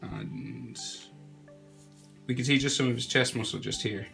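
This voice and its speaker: Man, nasal from a cold, gravelly, easygoing drawing tutor, faint background music. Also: medium pitch, a little slow. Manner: relaxed, chatty step-by-step instruction.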